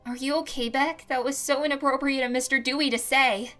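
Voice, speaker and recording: Adoring schoolgirl played by a woman: high, fussing and concerned, soft music behind. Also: fairly even intonation, fairly quick. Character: fawning, concerned schoolgirl admirer. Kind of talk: scripted animated roleplay acting.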